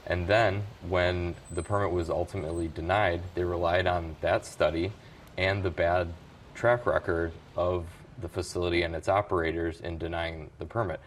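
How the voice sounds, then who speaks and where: A man from an environmental group, answering interview questions in a measured, explanatory way. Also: sits low, fairly even intonation, slight background noise. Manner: measured, explanatory, occasional restarts. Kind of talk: news interview guest.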